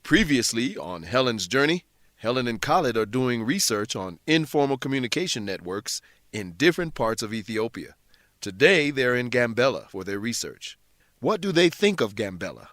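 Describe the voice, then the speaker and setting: A man's voice: medium pitch, big pitch swings, normal speed, fairly bright tone, dry recording, clean studio sound. A man working as a radio drama announcer, reading a scripted episode introduction.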